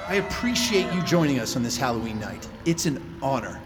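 An actor playing a showy, flippant fan-convention host in an audio drama, glib and full of announcer hype. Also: male voice, medium pitch, big pitch swings, brisk, music in the background, a crowd in the background, natural voice. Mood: glib, upbeat. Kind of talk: audio drama acting as stage emcee.

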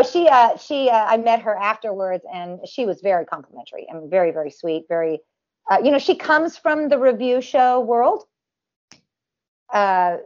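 A woman's voice: medium pitch, a little mellow. A woman who performs as a celebrity impersonator, chatting in an interview with a nasal voice and a rambling way of talking, full of fillers and restarts. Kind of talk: casual interview chat. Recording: dull lo-fi audio.

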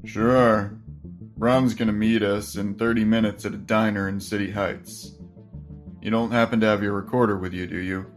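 A man's voice: on the low side, level tone, normal speed, faint music behind, lightly acted. A man playing a level-headed, mildly chiding police detective in a naturalistic audio drama. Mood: brisk.

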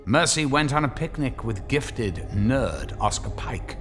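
A man's voice: mid-range voice, very animated, measured pace, a bit bright, faint music behind. A man narrating a scripted drama in a wry, theatrical storytelling manner.

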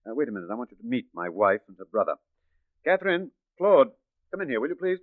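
An actor as a smooth gentleman crook, tinny radio. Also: mid-range voice, sing-song, fast talker, no room sound, lightly acted. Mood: suave, brisk. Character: smooth, condescending gentleman swindler posing as philanthropist. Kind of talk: 1940s radio drama acting. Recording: tinny, muffled 1940s radio recording.

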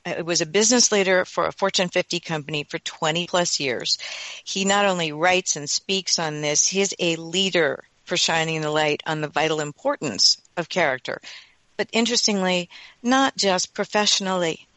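A woman's voice: on the low side, normal speed, a bit bright, no echo, low-quality recording. A woman hosting a radio talk show, speaking in an enthusiastic, earnest way.

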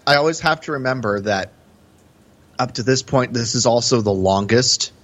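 A man's voice: mid-range voice, expressive, normal speed, fairly bright tone. A man co-hosting a podcast, explaining things in a hesitant, conversational way during casual film analysis. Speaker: podcast co-host. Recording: slightly dull, lo-fi audio.

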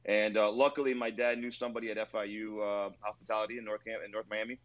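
Rambling restaurant owner, lo-fi call. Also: male voice, fairly low pitch, fast talker. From Rockville, Maryland, near Washington D.C. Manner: relaxed, rambling storyteller with many fillers. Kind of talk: casual interview over video call. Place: his own themed bar.

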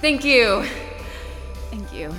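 A harried woman emceeing a corporate holiday broadcast, bright and bouncing all over her range as she trades curses with the crew. Her voice rings with a long hall reverb, with music under it. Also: fairly high pitch, very animated, very slow, heavily acted. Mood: nervous formal. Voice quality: profane, exasperated, bickers. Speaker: corporate event emcee named Grace. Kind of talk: audio drama acting.

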